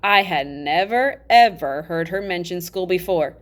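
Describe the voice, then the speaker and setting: A woman reading a children's novel aloud in an animated, emphatic storybook style, acting out the dialogue. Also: on the low side, a little slow. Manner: expressive, emphatic, acts out dialogue.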